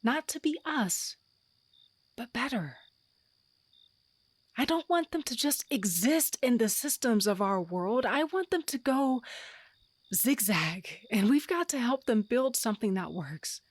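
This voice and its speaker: A woman's voice: a little high, animated, a little slow, slightly rough, dry recording. A woman playing an earnest, frustrated wife and mother in an audio drama, pleading and heartfelt.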